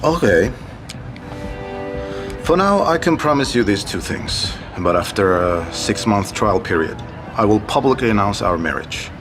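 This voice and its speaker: An actor playing a powerful, aloof billionaire in an audio drama, curt and commanding. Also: male voice, fairly low pitch, animated, unhurried, over music. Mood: calm, firm.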